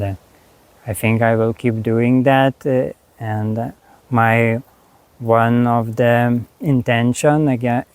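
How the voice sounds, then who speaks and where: A man with a Lithuanian accent, a spiritual teacher talking slowly and haltingly to camera about his course, with many pauses and restarts. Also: mid-range voice, a little mellow, dry recording. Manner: unhurried, halting, frequent fillers and restarts. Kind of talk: reflective monologue.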